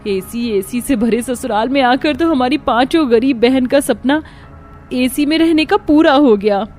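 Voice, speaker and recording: A woman's voice: sits high, unhurried, darkish tone, soft background music. A woman voicing an earnest, dutiful young daughter-in-law in a cartoon story. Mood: amazed, delighted.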